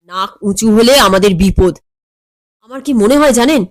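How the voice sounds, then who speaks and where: A woman's voice: medium pitch, normal speed, no room sound. A woman narrating a comic story expressively.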